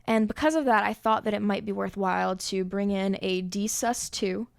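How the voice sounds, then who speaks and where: High-voiced young woman with vocal fry. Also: moderate pace. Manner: relaxed, explanatory, with thinking fillers. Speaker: songwriter explaining her arrangement. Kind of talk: casual music theory walkthrough.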